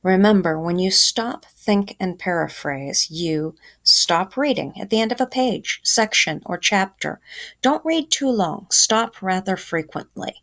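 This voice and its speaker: A woman's voice: mid-range voice, animated, unhurried, a bit bright. A woman teacher giving children a reading lesson, explaining simply and instructively.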